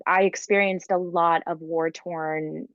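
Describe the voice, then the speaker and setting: A woman's voice: lowish voice, steady tone, a bit bright, no echo, highs cut off. A woman from Ukraine, in her thirties, talking casually and eagerly as an interview guest, with many "like"s and fillers. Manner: fast, filler-heavy, enthusiastic with many "like"s. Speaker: guest entrepreneur. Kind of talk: conversational interview.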